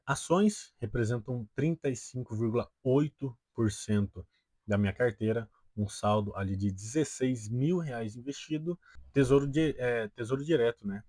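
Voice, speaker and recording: A man's voice: on the low side, a little mellow, no room sound. A twenty-year-old man with a Brazilian accent explains things casually to his viewers as a video host, often tagging his sentences with a check-in question. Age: 20 years old. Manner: peppers sentences with tag questions. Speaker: personal finance video host.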